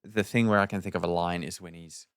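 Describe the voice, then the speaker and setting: A man's voice: deep voice, steady tone, quick pace, clean tone, no room sound, clean studio sound. A man co-hosting a podcast, chatting casually in a blunt, informal way. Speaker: podcast co-host.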